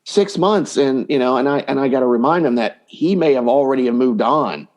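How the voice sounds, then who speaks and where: A man's voice: mid-range voice, lively intonation, normal speed, darkish tone. A man speaking as a dating coach guest in a conversational podcast interview, explaining points by voicing hypothetical quotes.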